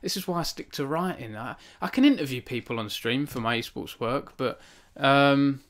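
A man's voice: medium pitch, expressive, moderate pace, a bit bright. Man reading aloud and chatting to viewers. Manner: earnest and self-conscious, with frequent restarts and fillers when chatting. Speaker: author hosting a book launch stream. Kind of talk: reading aloud from his novel, then chatting with live viewers. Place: small home room. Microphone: studio microphone on a stand in front of him.

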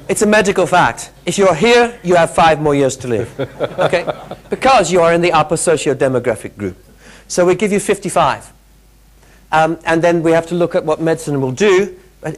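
A middle-aged British man giving a lively interactive keynote talk, quizzing the audience with quick questions, on a lapel mic in a large hotel conference room. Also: a little high. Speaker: keynote speaker. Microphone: clip-on lapel mic.

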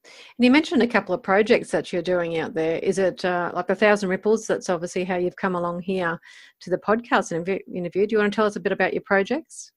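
A woman's voice: mid-range voice, fast. A woman hosting a podcast, asking casual interview questions.

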